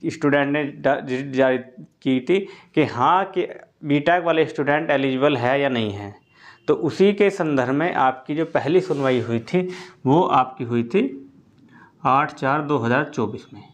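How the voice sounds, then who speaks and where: A man hosting an exam-update video, explaining in a casual spoken way straight to his viewers and repeating points and dates again and again. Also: fairly high pitch. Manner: repetitive, keeps restating points and dates; addresses listeners directly throughout.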